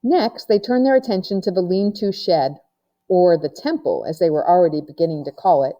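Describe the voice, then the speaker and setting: A woman's voice: on the low side, fairly quick, warm tone, lo-fi sound. A woman narrating a children's novel aloud, like a teacher reading to pupils.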